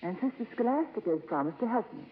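A devout, lively nun in old radio. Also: female voice, a little high, fast talker, slightly rough. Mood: earnest. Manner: polite, fervent, gently insistent. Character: earnest, devout, gently persistent nun, female. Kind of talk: 1950s radio drama acting. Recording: muffled, reverberant 1950s radio broadcast.

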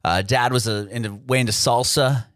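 A man's voice: medium pitch, steady tone, moderate pace, a bit bright, dry recording, clean studio sound. A man from San Diego hosting a music podcast, chatty and upbeat, with lots of fillers and restarts. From San Diego, United States. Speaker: podcast host. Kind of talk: casual interview chat and scripted intro.

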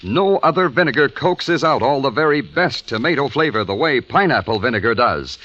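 A vintage radio announcer with a bright, lively voice, selling with enthusiasm. The recording is dull and old. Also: male voice, medium pitch, moderate pace. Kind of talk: 1940s radio commercial.

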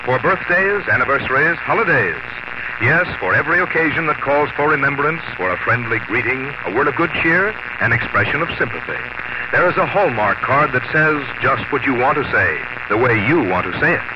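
A man announcing a vintage radio program and reading its sponsor message in a smooth, persuasive way. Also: mid-range voice, lively intonation, brisk, heavy background noise. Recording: dull vintage radio broadcast with music.

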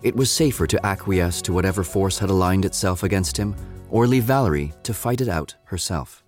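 Male narrator for scripted audio fiction, fairly low pitch, light music bed. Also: a little fast.

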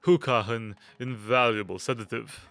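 Man playing a hesitant, fussy effete gentleman. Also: mid-range voice, steady tone, normal speed, no room sound, soft background music. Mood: nervous, apologetic. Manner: hesitant, stammering, nervous giggles and throat-clearing. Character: nervous, fussy, effete English gentleman aesthete. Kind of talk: audio drama acting.

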